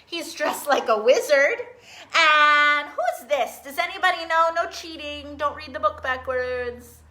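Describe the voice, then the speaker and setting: A woman hosting a live online story time, talking directly to young children in a sing-song, animated manner as a librarian who reads and teaches songs. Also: sits high, a little slow. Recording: roomy, echoing sound.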